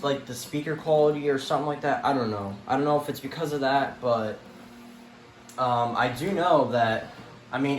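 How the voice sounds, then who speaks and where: Male vlogger, casual and unscripted, mildly exasperated rambler. Roomy echo, slight background noise. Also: medium pitch. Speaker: vlogger showing a weather radio collection. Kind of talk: casual unscripted show-and-tell. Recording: echoey room with faint background noise.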